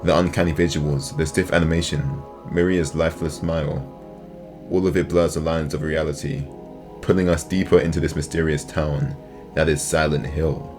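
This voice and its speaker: A man's voice: rumbling low, fairly even intonation, moderate pace. A man reading scripted, analytical narration as the host of a video essay. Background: music.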